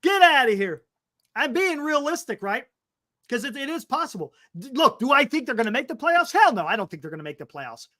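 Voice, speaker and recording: A man's voice: very high voice, big pitch swings, fairly quick, pushed and bright, dry recording, clean studio sound. A man in his fifties hosting a casual sports talk stream, speaking emphatically and repeating his points. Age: 50s. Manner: emphatic, repeats himself, calls people "man". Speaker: sports talk show host.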